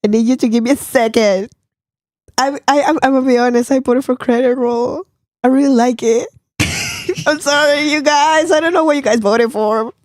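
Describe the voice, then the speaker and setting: A woman chatting casually as co-host, laughing often and protesting playfully, her voice going hoarse. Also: high voice, moderate pace, no echo, clean studio sound. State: losing her voice. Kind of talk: casual chat.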